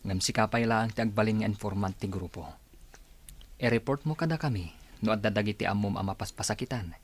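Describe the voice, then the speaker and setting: A man's voice: lowish voice, a little fast, slightly rough. A man playing a persuasive young gang member who coaxes a recruit in an Ilocano radio drama. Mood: coaxing.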